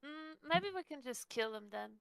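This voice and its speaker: A woman's voice: sits high, animated, normal speed, gravelly, a bit bright, no echo, clean studio sound. A woman playing a tabletop roleplaying game, chatting casually and asking lots of questions.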